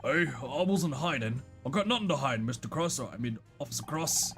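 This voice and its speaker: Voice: medium pitch, animated, normal speed, fairly bright tone, faint music behind. A performer voicing a dim, cheerful, boastful male handyman and bodybuilder in a video game, eager and muddled. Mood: nervous, eager.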